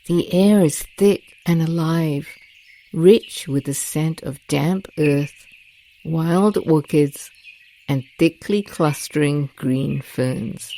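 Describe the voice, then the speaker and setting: A woman guiding a meditation with a gentle, deliberate, calming delivery and long pauses. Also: deep voice. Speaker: guided meditation host. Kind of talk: soothing guided meditation narration. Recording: nature ambience with birds and crickets. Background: rainforest sounds, birdsong and crickets.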